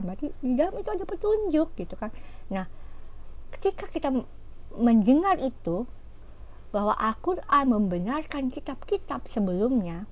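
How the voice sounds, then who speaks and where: A woman giving an informal religious talk, explaining scripture in an animated way with fillers and rhetorical questions. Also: fairly high pitch. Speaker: religious teacher.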